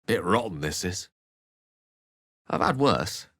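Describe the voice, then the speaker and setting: A low, expressive, learned wizard. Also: male voice, slow, no echo, studio quality, exaggerated voice. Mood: wry, thoughtful. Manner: articulate, theatrical, literary phrasing. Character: learned, eloquent, wry human male wizard companion. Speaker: video game voice actor. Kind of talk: fantasy game dialogue and narration.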